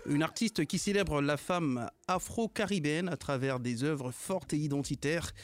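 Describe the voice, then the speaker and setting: A man's voice: fairly high pitch, normal speed. A man hosting a radio culture show from a studio, jovial and teasing, laughing often with his guests.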